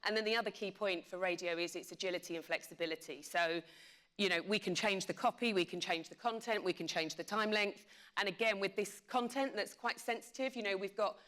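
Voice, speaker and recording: A woman's voice: medium pitch, brisk, fairly bright tone. A woman talking conversationally and emphatically as a media executive on a panel, repeating phrases for emphasis.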